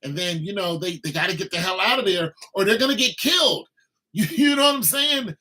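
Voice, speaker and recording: Man, mid-fifties, laid-back livestream chatter, bright sharp tone, slightly high voice. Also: brisk, slightly rough. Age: 50s. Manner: laid-back, rambling, talks to viewers. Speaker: livestream host. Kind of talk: casual livestream chat.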